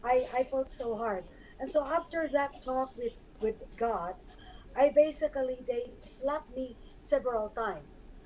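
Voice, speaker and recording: A hoarse woman speaks earnestly in a fairly high but dark, mellow voice, often restarting her sentences as she explains. Faint noise hums under the live-stream audio. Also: expressive, a little slow, low-quality recording. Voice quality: hoarse, scarred voice. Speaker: guest.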